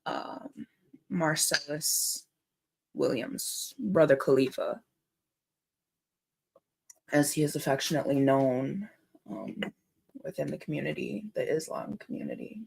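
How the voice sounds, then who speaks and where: A woman from Minnesota talks very slowly, with a gravelly, fry-laden edge to her voice. She is the host of her own vlog, and her tone is emotional and earnest. She often pauses and restarts as she works through what she wants to say. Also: deep voice, animated, a little mellow, rough recording. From Minnesota, USA. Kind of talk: emotional personal commentary vlog.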